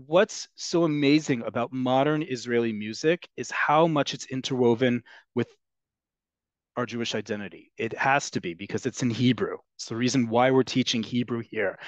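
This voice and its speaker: A man leads a Hebrew lesson over a video call, explaining earnestly and filling pauses with ums. His voice sits at an ordinary pitch. The call audio is dull and close, with no room sound. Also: dry recording. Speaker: Hebrew teacher. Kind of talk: online class lecture.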